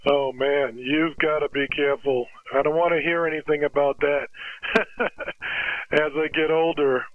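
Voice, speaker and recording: A man's voice: a little high, little pitch movement, measured pace, no echo. An older American man chatting casually and encouragingly as an amateur radio operator, handing over as he goes. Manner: chuckling, encouraging, uses radio sign-overs. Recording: dry, narrow phone-like radio audio.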